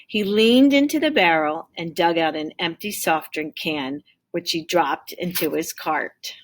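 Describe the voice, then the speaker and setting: A woman's voice: fairly low pitch, measured pace, a little hiss or hum. A woman teacher reading a storybook aloud expressively, with explanatory asides for her pupils. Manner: expressive, acts out dialogue.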